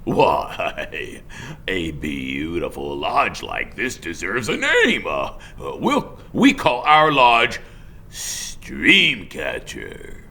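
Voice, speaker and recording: Excitable, stammering cartoonish beaver. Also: male voice, deep voice, drawn-out words, creaky, exaggerated voice. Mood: jovial. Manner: stammering, hesitant, exclamatory. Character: male beaver builder, excitable, stammering, jovial.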